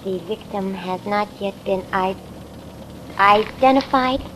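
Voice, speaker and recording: A woman's voice: medium pitch, slow pace, lightly acted. A woman playing a naive, affectionate young wife in a 1950s radio drama, with an innocent, wide-eyed manner. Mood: excited, wondering. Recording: noisy vintage radio recording.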